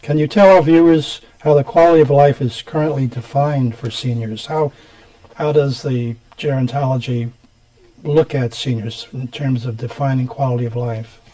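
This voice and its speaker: A man's voice: medium pitch, steady tone, moderate pace, warm tone, poor audio. A man hosting a community TV interview, asking rambling questions and backing the guest with frequent mm-hmms. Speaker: TV show host. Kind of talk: studio interview. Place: TV studio.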